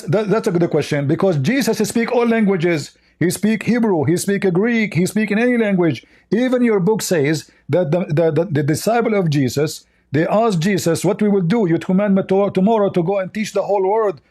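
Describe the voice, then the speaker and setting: A man's voice: high voice, normal speed, dark tone. A man who is a non-native English speaker, debating religion in an argumentative, repetitive way and cutting in on the other speaker. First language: not English.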